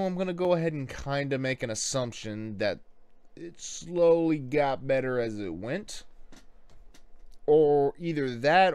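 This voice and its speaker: Halting young man, close dry mic. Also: fairly high pitch, a little mellow, clean tone, dry recording. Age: young adult. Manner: halting, thinking aloud. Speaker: reaction video host. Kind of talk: casual unscripted commentary. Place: small bedroom indoors. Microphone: close microphone on a boom arm.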